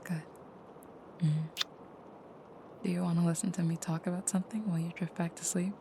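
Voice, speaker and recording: A woman playing a tender, soft-spoken vampire girlfriend in an intimate audio roleplay, with a breathy near-whisper and gentle, slightly formal phrasing. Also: lowish voice, flat delivery, normal speed, a little hiss or hum. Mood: tender, soothing.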